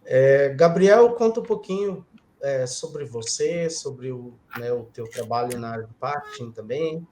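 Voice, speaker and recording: A man hosts the panel at an unhurried pace, searching for words and leaning on fillers. His voice echoes around the room. A faint noise runs underneath. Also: mid-range voice, expressive, warm tone. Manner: hesitant, many "é" and "né" fillers. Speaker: podcast host, moderator. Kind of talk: casual moderated panel. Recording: roomy sound with faint noise.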